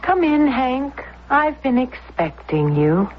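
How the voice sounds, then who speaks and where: A woman playing a ruthless, seductive lover in a radio drama, deliberate, insistent and coolly commanding. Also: sits high, big pitch swings, heavily acted. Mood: coolly inviting. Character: seductive, ruthless, world-hungry scheming lover. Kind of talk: old-time radio drama acting. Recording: dull lo-fi radio recording, faint noise.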